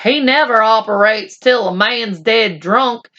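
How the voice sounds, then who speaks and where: A little storybook cowboy, played by a woman, pitched up well above a plain reading voice. He is folksy and given to whining and boasting, drawling in frontier slang on a slightly dull recording. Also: unhurried, sharp tone. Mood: folksy, boastful. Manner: drawling folksy frontier talk with dropped endings. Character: tiny male Old West cowboy, folksy, whining, boastful.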